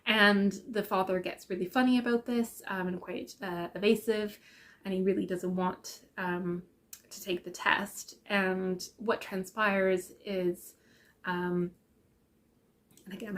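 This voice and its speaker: A young adult woman with a congested, croaky voice from a sinus infection, chatting casually about books with many ums and self-corrections. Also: medium pitch, level tone, a little slow. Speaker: book vlogger. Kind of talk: casual book chat.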